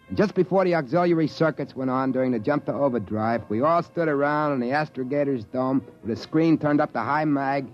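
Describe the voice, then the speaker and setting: A man's voice: mid-range voice, lightly acted. An American man playing a folksy, plain-spoken spaceman who narrates a 1950s radio drama as an alien passing as human. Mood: wistful storytelling. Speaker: actor narrating in character. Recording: dull vintage radio recording, faint music.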